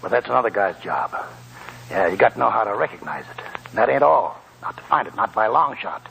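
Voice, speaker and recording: An actor playing a wily, scornful old American gold prospector in a 1940s radio drama, with a raspy, creaky voice and folksy rambling talk. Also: male voice, on the low side, expressive, brisk, a bit bright, a little hiss or hum, heavily acted, rough recording. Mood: knowing. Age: older.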